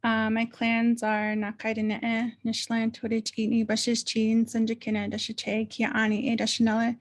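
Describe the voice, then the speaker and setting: A woman's voice: fairly high pitch, completely flat delivery, normal speed. A woman giving a webinar presentation, talking steadily with frequent "uh" fillers. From Klagetoh, Arizona, Navajo Nation.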